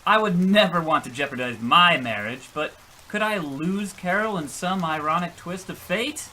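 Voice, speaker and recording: Man acting a high, animated, gullible husband. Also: normal speed, exaggerated voice, poor audio. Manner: rambling, exasperated. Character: gullible, rambling, defensive husband telling his tall story. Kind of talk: old-time radio comedy acting.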